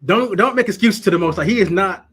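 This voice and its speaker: A man's voice: sits high, quick pace, a bit bright, slightly rough. A man who stutters and repeats words, casually teaching a Bible class and laughing often. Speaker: teacher leading a Sabbath class.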